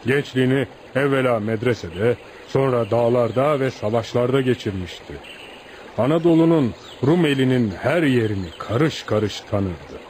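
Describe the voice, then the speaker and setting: A man's voice: mid-range voice, normal speed, darkish tone, close to an everyday voice. Guy playing solemn village uncle telling an old tale, radio theatre, respectful, bit of hiss and ambience. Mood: solemn storytelling. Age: 50s. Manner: earnest, solemn storytelling. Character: respectful old village guide and storyteller, man in his fifties. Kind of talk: Turkish radio theatre acting. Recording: noisy radio drama recording, outdoor ambience.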